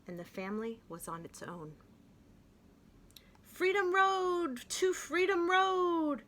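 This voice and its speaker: A woman reading a children's picture book aloud, with gentle, expressive and clear storytelling. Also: mid-range voice.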